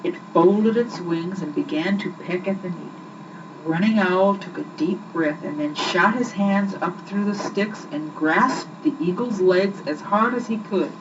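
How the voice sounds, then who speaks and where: A young woman reading a children's story aloud with expressive, scripted narration, on an old amateur recording. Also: fairly low pitch, distant mic. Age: young adult. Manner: expressive, acts out the dialogue. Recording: muffled, noisy old amateur home recording.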